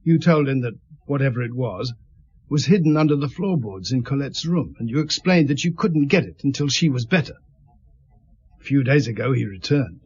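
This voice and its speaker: An actor playing a stern, shrewd police inspector who questions methodically and sardonically in a radio drama. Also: male voice, mid-range voice, lively intonation, moderate pace, husky, muffled recording. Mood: methodical, accusing. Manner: pressing, methodical, sardonic interrogator.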